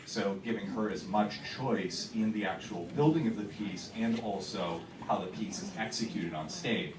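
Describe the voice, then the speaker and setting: A man's voice: on the low side, normal speed. A man, a theater director, chatting loosely to an audience before a show, with many fillers and restarts. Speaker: theater director giving a pre-show talk. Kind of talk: casual pre-show talk to an audience. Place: theater auditorium. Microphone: held microphone heard from a distance. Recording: echoey theater, far from the mic, noisy.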